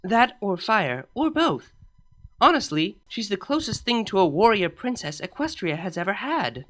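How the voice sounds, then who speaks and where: A woman reading a fan story aloud, voicing a gentle, polite young pony princess who speaks a little hesitantly. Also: mid-range voice, sing-song, normal speed. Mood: mild.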